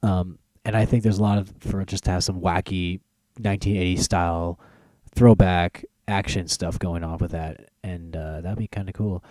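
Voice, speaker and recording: A man's voice: low voice, steady tone, moderate pace, warm tone, no room sound. A man hosting a casual podcast, thinking aloud with hesitations and repeated words. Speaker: podcast host counting down a list.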